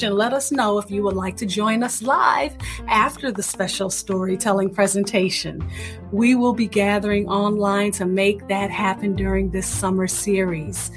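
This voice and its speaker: A woman hosting a radio show, introducing it in an emphatic, enthusiastic way. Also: mid-range voice. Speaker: host. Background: music behind the voice.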